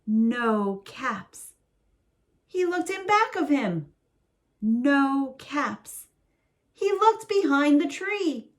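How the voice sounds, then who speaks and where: A woman reading a picture book aloud as a bedtime story, in a slow, soothing, expressive way. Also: sits high.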